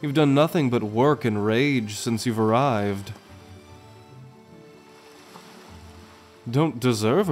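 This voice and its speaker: A man playing a confident, affectionate merman prince in a gentle, teasing, courtly manner for an ASMR roleplay. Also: medium pitch, close to an everyday voice. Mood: tender concern. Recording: music under the voice.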